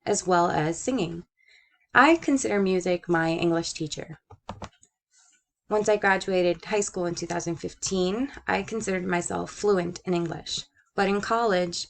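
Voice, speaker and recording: Young woman, 20s, teacher type, slight Brooklyn accent, measured scripted storytelling with a gravelly edge. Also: fairly low pitch. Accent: slight Brooklyn-tinged American. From Puerto Rico. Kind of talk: personal narration read from a script.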